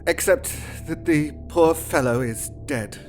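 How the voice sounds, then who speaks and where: Casting: shaken young-sounding gentleman, fairly high voice, grave reporting, audio fiction over music. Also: slow pace. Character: shaken, sombre Victorian gentleman reporting grim news.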